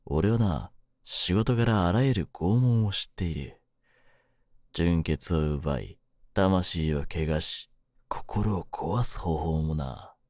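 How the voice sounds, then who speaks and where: A man's voice: expressive, slow, dry recording, clean studio sound. Man acting a cool, swaggering young mafia kidnapper. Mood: quiet menace. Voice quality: low-pitched, hushed at menacing moments. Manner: rough masculine speech, smug mock politeness. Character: cool, menacing young male mafia kidnapper, teasing but softening. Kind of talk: ASMR situation voice drama, intimate one-sided roleplay.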